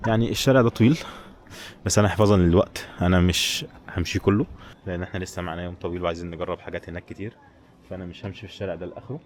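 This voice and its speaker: A man with an Egyptian Arabic accent giving casual, chatty travel commentary straight to his viewers while walking. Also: fairly low pitch. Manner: chatty, addresses viewers directly. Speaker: travel vlogger. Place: outdoors, walking in streets and a village. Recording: noisy outdoor street and traffic sound.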